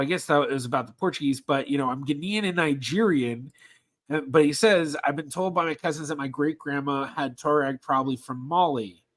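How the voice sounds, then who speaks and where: A man hosting a casual livestream Q&A as a genealogist, talking in a halting way with many ums and restarts as he reads viewer questions aloud. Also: a little high.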